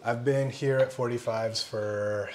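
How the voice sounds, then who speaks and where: A young man, a firefighter-paramedic, calmly explaining station life while cooking, with polite "yes, ma'am" replies. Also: medium pitch, unhurried. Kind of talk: casual cooking chat. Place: large fire station kitchen, indoors. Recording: clear background noise.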